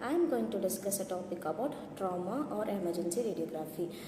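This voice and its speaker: A woman's voice: medium pitch, animated, brisk. A woman lecturer in radiography, reading a lecture carefully from notes with small slips. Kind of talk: read-out lecture. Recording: echoey room with long reverb, faint noise.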